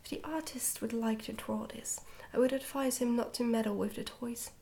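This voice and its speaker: A woman reads a story aloud for ASMR. Her voice is whispered and breathy, sitting slightly high. She tells the tale gently and carefully, keeping everything soft and hushed. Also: steady tone, moderate pace. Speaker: ASMR book reader.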